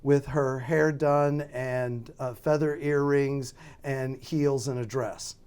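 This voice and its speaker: An American man being interviewed as a radio drama producer, talking casually and haltingly as he thinks aloud. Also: medium pitch, fairly even intonation, a little mellow, smooth.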